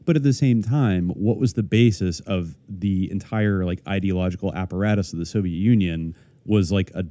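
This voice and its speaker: A man's voice: low voice, moderate pace, few pauses, mellow, no room sound. A man co-hosting a podcast, chatting casually and rambling with lots of "like" and "I guess". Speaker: podcast co-host. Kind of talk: casual chat.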